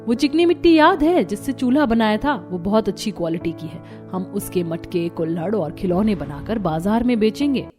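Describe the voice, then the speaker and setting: A woman's voice: mid-range voice, expressive, normal speed, hardly any pauses, darkish tone, soft background music, close to an everyday voice. A woman voicing a clever, determined young daughter-in-law in a cartoon story, speaking in a confident, persuasive way. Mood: upbeat, persuasive. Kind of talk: Hindi cartoon story dialogue.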